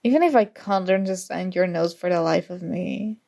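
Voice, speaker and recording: A woman's voice: fairly low pitch, normal speed, gravelly. A young woman acting in an ASMR audio roleplay as a prickly but grudgingly fond student, teasing and defensive. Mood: reluctantly sincere. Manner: teasing, defensive, flustered, soft-spoken tsundere.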